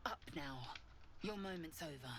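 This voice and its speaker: An actress playing a haughty, commanding alien warrior woman in a video game, with an imperious, clipped, hushed delivery. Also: sits low, expressive, moderate pace, slightly rough, heavily acted. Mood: smug, haughty.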